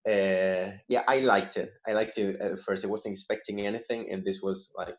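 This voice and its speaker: A man's voice: lowish voice, fairly even intonation, moderate pace. A man giving his opinion casually in a book discussion on a video call, often searching for words. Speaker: book club participant.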